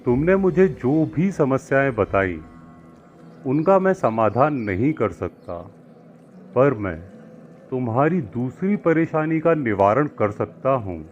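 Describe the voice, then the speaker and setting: A man voicing a calm, wise village holy man who gives counsel in a moral story, measured and didactic with deliberate pauses. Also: medium pitch. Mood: firm, calm. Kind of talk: acted moral-story dialogue. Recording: muffled lo-fi with music behind.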